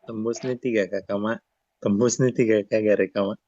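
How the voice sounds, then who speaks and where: Man, low voice, casual live streamer, dull lo-fi audio. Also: steady tone, moderate pace, darkish tone, no room sound.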